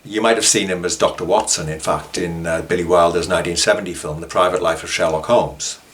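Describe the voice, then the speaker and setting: A man's voice: sits low, steady tone, a little fast, fairly bright tone, slight background noise. A man presenting informal documentary commentary, mixing scripted points with conversational asides. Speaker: author and presenter.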